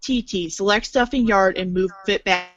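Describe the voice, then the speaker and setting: A woman's voice: medium pitch, moderate pace, muffled recording. A woman reading scripted storybook-style narration aloud as a theatrical narrator.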